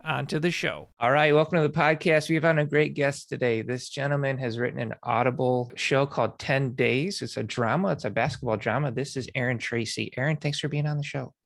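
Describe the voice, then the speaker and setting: A man's voice: medium pitch, steady tone, brisk, creaky, few pauses, a bit bright, no room sound, clean studio sound. A man hosting an interview podcast, chatting with a guest in an encouraging, affirming way. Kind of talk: podcast intro and interview chat.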